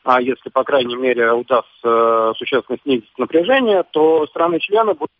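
A man giving expert commentary over the phone on a radio show, measured and hesitant with fillers. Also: medium pitch, slight background noise. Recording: dry tinny phone line.

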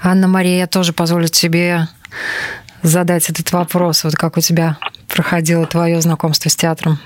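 A woman's voice: lowish voice, level tone, normal speed. A woman hosting a panel interview on radio, introducing guests and handing over questions. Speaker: radio show host.

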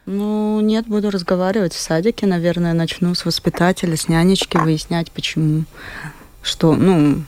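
A woman's voice: fairly low pitch, fairly even intonation, normal speed, a little mellow. A woman, a parent guest on a radio discussion, giving thoughtful, tentative answers.

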